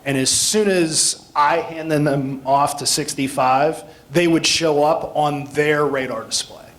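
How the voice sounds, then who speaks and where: A man's voice: fairly high pitch, a little slow, a bit bright. American man giving an informal explanatory talk. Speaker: guest speaker, air traffic control instructor. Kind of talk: informal public talk with audience questions.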